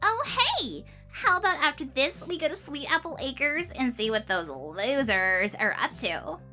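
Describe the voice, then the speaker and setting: A woman voicing a bossy, girly young pony with a self-assured, drawn-out delivery in a cartoon fan audio drama. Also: high-pitched, expressive, pushed and bright, faint music behind, full character voice. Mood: breezy, scheming.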